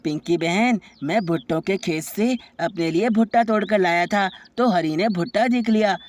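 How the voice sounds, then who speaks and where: Woman as a calm, clever brotherly cartoon bug. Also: medium pitch, moderate pace, no echo, natural voice. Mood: concerned. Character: clever, helpful male insect, calm brotherly friend. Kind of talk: children's animated story dialogue.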